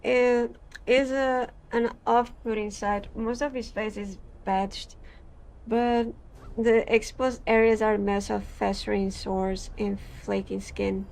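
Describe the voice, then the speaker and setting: A woman from Portugal, a gaming creator reading game text aloud and chatting in a halting way, full of fillers and restarts. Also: fairly high pitch, little pitch movement, gravelly, a little mellow, poor audio.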